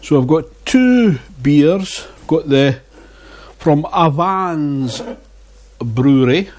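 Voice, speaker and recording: A man's voice: a little high, darkish tone. A man reviewing beer in a casual vlog, chatting and muttering asides to himself on a lapel mic. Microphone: wireless lapel mic.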